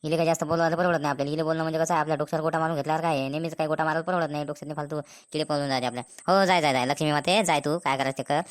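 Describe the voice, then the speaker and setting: A woman acts out a sarcastic village husband in a comic cartoon, low and quick. He grumbles and mock-pleads in a rural Maharashtrian accent. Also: steady tone, lightly acted. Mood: exasperated.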